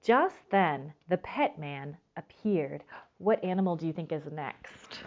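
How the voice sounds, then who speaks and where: Woman storytime reader, expressive and lively in pitch, addresses children directly, slightly dull lo-fi recording. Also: slow pace. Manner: expressive, stretches words for emphasis. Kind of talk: children's storybook read-aloud with asides to listeners.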